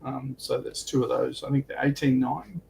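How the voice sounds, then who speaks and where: A man chatting casually as he explains tabletop game rules, with halting, hesitant delivery. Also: medium pitch, fairly even intonation, warm tone. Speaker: guest on a tabletop wargaming livestream. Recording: clearly noisy background.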